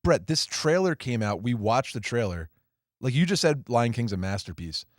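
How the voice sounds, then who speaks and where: A man's voice: mid-range voice, very animated, normal speed, no room sound, clean studio sound. Blunt, wry male podcast co-host. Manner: blunt, wry, swears casually. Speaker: podcast co-host, film critic. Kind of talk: casual movie review chat.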